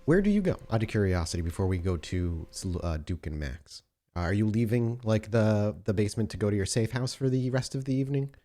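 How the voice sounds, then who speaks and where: A man working as game master on a tabletop roleplay podcast, improvising narration and questions in a casual, halting way. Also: lowish voice, animated, mellow.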